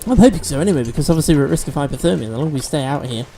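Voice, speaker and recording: Gaming host, male, mellow tone, sniffly, chatty walkthrough delivery. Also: a little high, lively intonation, rapid-fire, soft background music. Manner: chatty, explains game mechanics as he plays. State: sniffly from allergies. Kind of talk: let's-play commentary.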